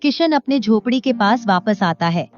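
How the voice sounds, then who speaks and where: Lady narrator telling a scripted story, mid pitch, bit dull audio, music behind. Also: animated, normal speed.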